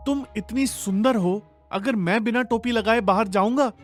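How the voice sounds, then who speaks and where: A man voicing a plain, insecure young husband in an animated comedy story. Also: high voice, normal speed, faint music behind, close to an everyday voice. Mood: earnest.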